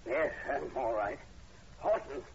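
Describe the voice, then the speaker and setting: An actor playing a kindly, protective professor in old radio horror, genteel in manner. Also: male voice, medium pitch, lively intonation, slow. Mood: urgent. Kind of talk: 1940s radio horror acting. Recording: muffled, faintly hissy old radio recording.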